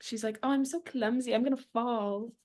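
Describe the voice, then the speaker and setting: A woman's voice: fairly high pitch, steady tone, normal speed, a little mellow. A woman co-hosting a casual comedic book chat, playful and sweary, sounding a little stuffy from a cold. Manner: playful, profane, mock-whiny. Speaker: podcast co-host.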